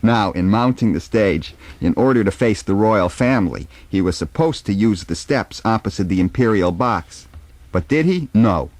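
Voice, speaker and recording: A man reading the part of a gossipy, scornful high-society concertgoer in an emphatic dialogue reading. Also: lively intonation, normal speed, a little mellow, dry recording, natural voice. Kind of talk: informal dramatic reading of a dialogue.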